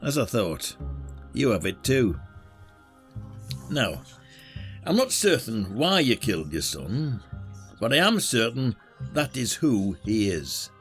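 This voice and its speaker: A man acting as a blunt British police detective in an audio drama. His pitch swings widely as he needles and accuses in colloquial, theatrical style, over faint music. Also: big pitch swings, slow, pauses often, a bit bright, full character voice. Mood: methodical, accusing.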